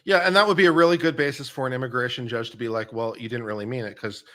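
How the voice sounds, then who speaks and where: A man talks quickly in a bright, forceful voice as the co-host of a casual legal stream. His banter is blunt and sardonic. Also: mid-range voice, steady tone.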